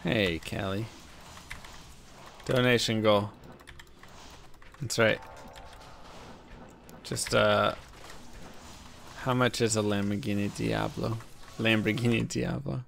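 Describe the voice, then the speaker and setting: A man with a deep voice talks slowly and easily, drifting from thought to thought as he plays. His voice has a gravelly edge. Faint typing and clicks sit behind him. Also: fairly even intonation, fairly bright tone, a little hiss or hum. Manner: laid-back and rambling. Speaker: streamer. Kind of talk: casual chat while gaming.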